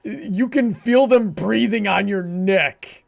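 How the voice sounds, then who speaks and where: A man hosting a livestream with casual banter, talking in a halting, good-humoured way. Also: high-pitched, creaky. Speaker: livestream show host.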